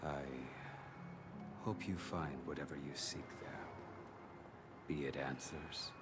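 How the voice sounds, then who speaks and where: An actor playing a grave, cold, brooding swordsman, speaking in a measured, restrained way in scripted game dialogue. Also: extremely low pitch, fairly even intonation, heavy background noise. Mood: grave, cool.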